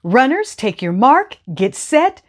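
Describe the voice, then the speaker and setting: An official storybook rooster, acted out by a woman, proclaiming to a gathering. The voice is raised and emphatic, with big swings in pitch. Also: sing-song, takes it slowly, background noise, full character voice. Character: rooster race announcer, loud and official. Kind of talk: storybook character voice.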